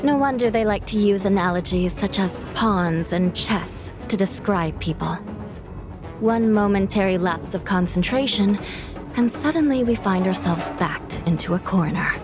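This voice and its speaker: An actress playing a stern, analytical official in a video game, with a measured, formal, resolute delivery. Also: female voice, mid-range voice, close to an everyday voice. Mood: grave, resolute. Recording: loud music behind.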